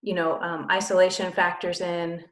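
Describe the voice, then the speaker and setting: A woman's voice: on the low side, level tone, normal speed, roomy sound. A woman missionary in casual conversation, talking thoughtfully and repeating words for emphasis.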